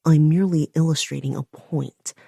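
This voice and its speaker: A woman's voice: low voice, fairly even intonation, moderate pace, gravelly, mellow, slightly rough, no room sound, studio quality, pitched below the performer's own voice, heavily acted. A woman voicing a slick, menacing male blackmailer who purrs his threats in a half-whisper.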